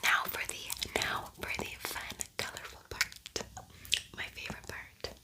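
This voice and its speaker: A woman speaking in a breathy whisper, chatting informally and softly as she hosts an ASMR makeup tutorial. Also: measured pace. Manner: soft-spoken, informal, chatty. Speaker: beauty ASMR video creator.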